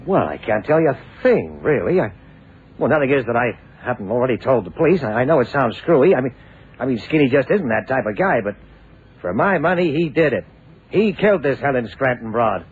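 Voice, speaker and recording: A man acting as an ambitious young lawyer in a vintage radio drama. His pitch moves a lot as he talks, hesitant and slangy, always on the defensive. It sounds narrow and tinny, with a light layer of noise. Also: big pitch swings, brisk, lightly acted. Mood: flustered. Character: evasive, ambitious young American male lawyer. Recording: thin, noisy 1960s radio drama recording.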